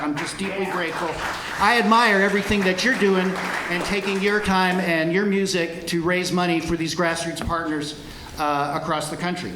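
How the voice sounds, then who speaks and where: A man's voice: high voice, noisy background. A middle-aged man speaking live to a concert audience as a volunteer, earnest and grateful, rallying the crowd with plenty of fillers. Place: large room with live audience. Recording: echoey room, crowd murmur.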